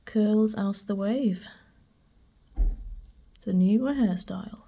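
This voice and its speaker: Slow, husky, murmuring woman. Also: mid-range voice, dark tone. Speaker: vlogger. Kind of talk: casual commentary while reading aloud old newspaper adverts.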